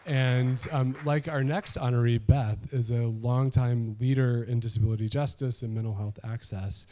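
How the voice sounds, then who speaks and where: A man hosting an awards event, introducing honorees with self-deprecating asides and halting restarts. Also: mid-range voice, fairly even intonation, dark tone. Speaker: event host. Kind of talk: emcee remarks.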